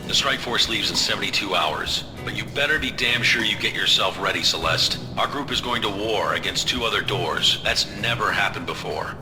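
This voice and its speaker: A man's voice: on the low side, animated, normal speed, few pauses, natural voice, rough recording. Man acting a stern intelligence chief over music. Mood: grudging, stern. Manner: terse, authoritative. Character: stern male intelligence chief, commanding, blunt, grudging. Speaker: actor in an audio drama. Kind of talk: dramatic audio drama acting. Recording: music behind the voice.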